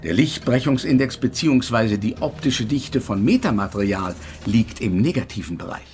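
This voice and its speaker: An actor playing an invisible male physics professor in a German radio drama, haughty and exasperated, lecturing with pedantic precision in a husky voice. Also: mid-range voice, animated, normal speed, a little mellow, soft background music, lightly acted. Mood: lecturing, precise.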